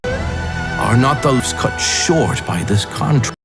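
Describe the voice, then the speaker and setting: A man playing a solemn, weary doctor who tells a legend gravely and slowly in a fantasy game. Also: mid-range voice, wildly swooping pitch, over loud music, heavily acted. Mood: grave, regretful. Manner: slow, grave, measured storytelling with long pauses. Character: solemn, weary male doctor recounting a legendary healing contract. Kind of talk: dramatic story narration in a fantasy game.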